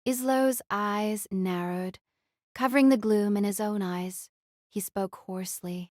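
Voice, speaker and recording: A woman's voice: mid-range voice, steady tone, unhurried, darkish tone, dry recording, studio quality. A woman reading scripted fiction narration as the narrator of a novel.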